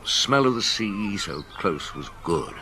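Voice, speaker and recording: An actor playing a shrewd, calm police chief inspector in a radio drama, with a thoughtful, probing manner. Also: male voice, fairly low pitch, background noise. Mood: measured, easy. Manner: probing, thoughtful, asks short measured questions. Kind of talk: radio drama acting with first-person narration.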